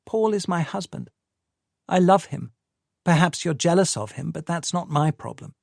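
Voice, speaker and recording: A man's voice: fairly high pitch, animated, moderate pace, vocal fry, no room sound, studio quality, pitched above the performer's own voice. A man voicing a cool, sarcastic young woman. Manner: dismissive, sarcastic.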